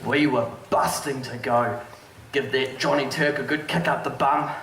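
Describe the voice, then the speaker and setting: A man's voice: medium pitch, steady tone, normal speed, fairly bright tone, slight background noise, close to an everyday voice. A man playing a cheeky, eager young soldier who talks in a jokey, boastful way. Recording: echoey hall.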